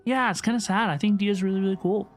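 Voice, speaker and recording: A woman's voice: mid-range voice, fairly even intonation, fast talker. A woman livestreaming a game, chatting casually and effusively as she answers her viewers. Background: game music.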